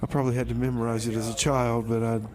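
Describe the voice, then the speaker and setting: A man's voice: medium pitch, level tone, fast, a little mellow. A man hosting a radio music show in a casual, chatty style with comic banter.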